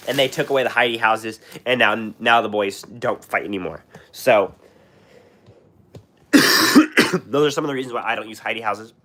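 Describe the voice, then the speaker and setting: A man's voice: lowish voice, normal speed, pauses often, bright, forceful tone. A young man with a US West Coast accent chatting casually on a livestream, rambling with fillers and restarts while sick with a cough. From Washington State. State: sick, coughing and clearing throat. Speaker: livestream host. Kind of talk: casual live chat answering viewers.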